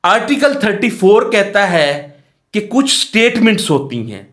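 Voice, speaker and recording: A man's voice: sits high, very animated, moderate pace, a bit bright. A man with a Pakistani accent giving an explanatory law lecture in a teacherly question-and-answer manner. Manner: asks rhetorical questions and answers them; reads English statute phrases, then explains them in Hindi-Urdu. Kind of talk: explanatory exam-prep lecture.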